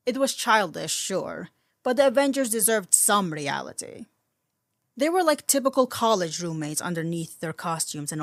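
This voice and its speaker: A woman's voice: medium pitch, a bit bright, no echo, studio quality. A woman reading fan fiction aloud as a narrator, in a scripted storytelling style.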